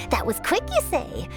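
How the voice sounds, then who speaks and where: A woman's voice: high voice, heavily acted. A woman voicing an eager, nosy and persistent young female news reporter in a video game, perky and theatrical.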